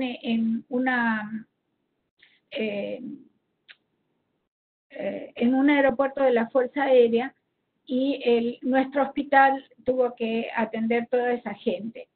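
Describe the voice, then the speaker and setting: A woman's voice: fairly high pitch, a little hiss or hum. A woman from Argentina with an Argentine Spanish accent explains slides as a health professional in a seminar, hesitantly searching for words, over an online call connection. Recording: tinny phone-like connection.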